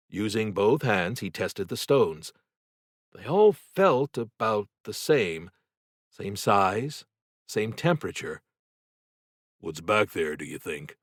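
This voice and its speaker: Slow, low-voiced male audiobook narrator. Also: no room sound, studio quality. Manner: deliberate, suspenseful, expressive. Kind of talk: dramatic scripted novel narration.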